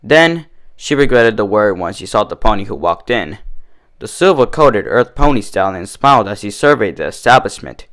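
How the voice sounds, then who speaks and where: A man's voice: fairly low pitch, sing-song, normal speed, fairly bright tone. A man reading scripted story narration as a fan-fiction reader.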